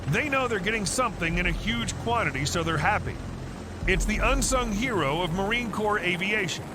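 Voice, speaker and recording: Brief: male news narrator, high-pitched, formal, over loud vehicle noise. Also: moderate pace. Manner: even, formal, informational delivery. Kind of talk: scripted narration.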